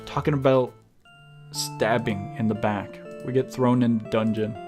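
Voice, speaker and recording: A man streams a video game at an unhurried pace, with faint music behind him. His talk is informal and slangy, somewhere between muttering to himself and reading on-screen text out loud. His pitch sits in an average male range. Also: steady tone. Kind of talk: casual live game commentary, reading game text aloud.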